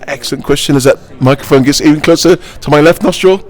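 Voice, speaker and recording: A man's voice: a little high, fast talker. A middle-aged man, a pantomime actor, giving playful answers in an interview, joking and laughing often in a theatrical way.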